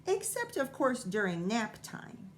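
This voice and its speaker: A woman's voice: a little high, huge pitch swings, measured pace, a little hiss or hum, pitched above the performer's own voice. A woman voicing an eager, bossy little female bird schoolteacher in a storybook, exclaiming and insisting. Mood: insistent. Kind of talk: storybook read-aloud character voice.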